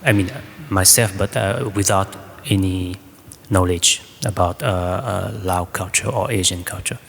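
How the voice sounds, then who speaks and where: Low, slow, halting French-accented man. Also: gravelly, a bit bright. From France. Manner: halting, hesitant English. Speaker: choreographer, guest speaker. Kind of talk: informal public talk and audience Q&A. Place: indoor public hall with audience. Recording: echoey hall, faint background noise.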